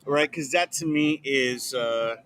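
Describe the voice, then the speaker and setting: A man's voice: medium pitch, slow pace, over music. A man hosting a podcast, chatting casually and enthusiastically, with many fillers, recorded outdoors in a back alley at night. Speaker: podcast host. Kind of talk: casual chat.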